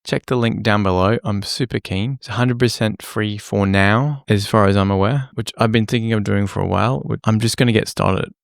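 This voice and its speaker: A man with a slightly croaky voice, talking casually to camera in a rambling, self-deprecating, apologetic way. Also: fairly low pitch, steady tone, fairly quick, few pauses, dry recording, clean studio sound. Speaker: music producer and vlogger. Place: small home room lit by a lamp. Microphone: camera-facing setup at a desk.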